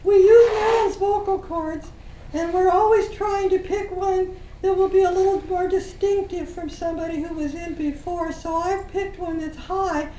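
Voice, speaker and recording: A woman, as a channeling medium, voicing a gentle, upbeat spirit guide who leads a soothing guided meditation for a group in a meeting room. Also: extremely high pitch, fairly even intonation, moderate pace, slight background noise, voice pushed up. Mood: gently cheerful. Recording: roomy room sound from a distant mic.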